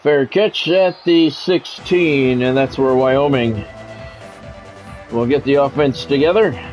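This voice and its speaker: A man's voice: medium pitch, slow pace, darkish tone, soft background music, poor audio. A man streaming a sports game, mixing radio-style play-by-play with casual chat.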